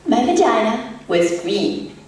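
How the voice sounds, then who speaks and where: Distant echoey stage recording, woman performing haunted female war survivor, slow fragmented poetic monologue, high voice. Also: big pitch swings, a little mellow. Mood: haunted, subdued. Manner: halting, fragmented, dramatic phrasing. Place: echoey indoor performance space, distant mic. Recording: echoey hall from far off, faint noise.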